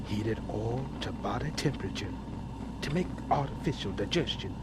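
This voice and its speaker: An actor playing a devout, humble elderly scientist in a radio drama, husky and deliberate, absorbed in talking with God. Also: male voice, fairly low pitch, animated, heavy background noise, close to an everyday voice. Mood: absorbed, hushed.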